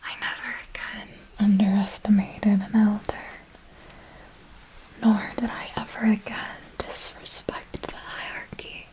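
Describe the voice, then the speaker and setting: A woman playing a seasoned female vampire mentor in a whispered ASMR roleplay, telling tales with theatrical, formal, deliberate diction. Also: mid-range voice, flat delivery, darkish tone, close to an everyday voice, poor audio. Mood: solemn, haunted. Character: seasoned female vampire mentor, doting, menacing, solemnly recounting.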